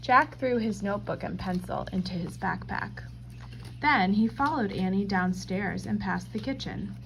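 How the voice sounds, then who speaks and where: A woman reading a children's storybook aloud in scripted, expressive narration. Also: mid-range voice, moderate pace, vocal fry, slight background noise, low-quality recording.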